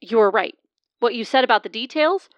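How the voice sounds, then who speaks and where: Woman playing a high, muffled, needy crime tech. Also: lively intonation, fairly quick, dry recording. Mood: earnest, urgent. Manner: earnest, awkward. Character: earnest, needy crime scene technician craving approval. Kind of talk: comic radio-play acting. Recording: muffled band-limited phone call.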